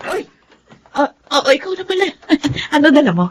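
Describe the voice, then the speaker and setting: A woman's voice: sits high, big pitch swings, moderate pace. An actress playing a brash, carefree young Filipino mother in a radio drama, talking in a boastful, breezy, exclamatory way. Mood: breezy, bossy.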